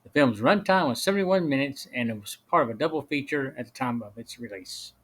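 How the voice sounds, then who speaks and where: A man's voice: mid-range voice, lively intonation, fairly quick, a bit bright, breathy edge. Middle-aged man reading a scripted podcast. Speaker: podcast host and film reviewer. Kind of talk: scripted podcast narration and review.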